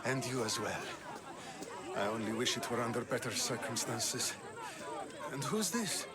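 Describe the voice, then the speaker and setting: An actor playing a gracious, composed ancient statesman in a video game, courteous and measured. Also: medium pitch, animated, over a noisy crowd, lightly acted. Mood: welcoming, weary. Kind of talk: video game cutscene acting.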